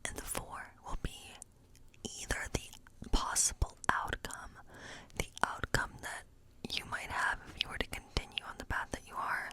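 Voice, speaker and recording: Woman playing a whispering, gentle tarot witch. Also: measured pace, rough recording. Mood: gentle, encouraging. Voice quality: breathy, unvoiced whisper. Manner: rambling, gentle, lingering over phrases. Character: friendly, chatty woodland witch reading tarot cards. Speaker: ASMR role-play performer. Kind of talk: whispered ASMR role play.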